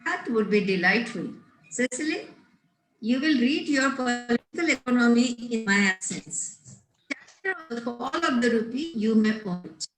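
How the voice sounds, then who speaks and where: A woman reading the part of a prim, strict governess in a Victorian comedy table read, formal and measured. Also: a little high, animated, a bit bright, faint music behind. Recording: roomy, echoing sound.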